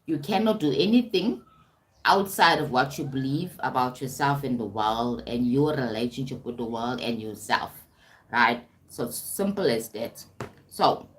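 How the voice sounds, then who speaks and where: A woman hosting a casual self-development livestream, chatty, often stumbling over words and checking in with viewers. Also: rumbling low. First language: English. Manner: warm-toned chatty, calls viewers "sweetie pie", frequent "right?" and restarts. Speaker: livestream host.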